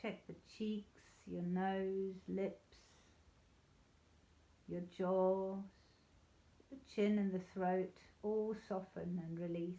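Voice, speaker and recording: A woman leading a guided yoga nidra meditation in a soothing, unhurried, gently reassuring way. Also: medium pitch, level tone. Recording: slightly dull, echoey room sound.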